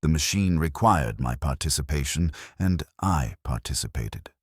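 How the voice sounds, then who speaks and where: A man narrates a scripted first-person drama in a profoundly deep voice. His delivery is solemn and detached, in short clipped statements, yet the pitch moves around in a lively way. The sound is close and dry, free of room. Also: normal speed, husky, no echo.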